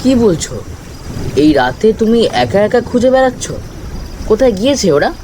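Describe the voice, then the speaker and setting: A man's voice: high-pitched, big pitch swings, moderate pace, a little mellow, slight background noise, low-quality recording. A man playing a bewildered, frightened boat passenger in a ghost story, asking urgent questions with a stammer. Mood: puzzled, concerned.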